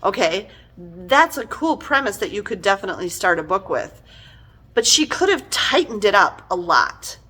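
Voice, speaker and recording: A middle-aged woman giving a casual, conversational book review in an animated, exasperated and emphatic manner. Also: mid-range voice, moderate pace, breathy edge, rough recording.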